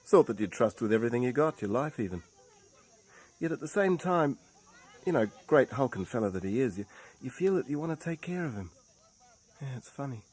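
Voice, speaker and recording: An earnest, affectionate fellow in a radio play. He has a middle-pitched voice. He speaks colloquially and thoughtfully, as if thinking aloud. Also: lively intonation, brisk, long pauses, darkish tone, slightly rough, low-quality recording. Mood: admiring, musing. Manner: colloquial, musing. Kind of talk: radio drama acting.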